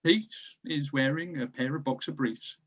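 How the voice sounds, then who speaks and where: A man's voice: a little high, normal speed, breathy edge, highs cut off. A man reading stage directions as scripted narration for a screenplay table read.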